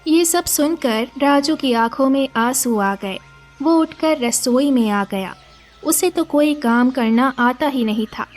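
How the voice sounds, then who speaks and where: High-pitched woman storyteller. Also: takes it slowly, soft background music. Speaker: narrator. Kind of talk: scripted storybook narration.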